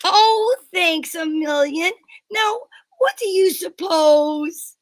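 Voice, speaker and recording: A woman's voice: very high-pitched, takes it slowly, no echo. A woman playing a feisty, indignant young mademoiselle, pert and flustered, in an amateur 1940s radio mystery reading. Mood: startled.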